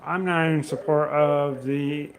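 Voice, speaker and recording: A man's voice: fairly high pitch, fairly even intonation, takes it slowly, crowd noise. A man speaking casually at home, blunt and dismissive. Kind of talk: casual vlog aside.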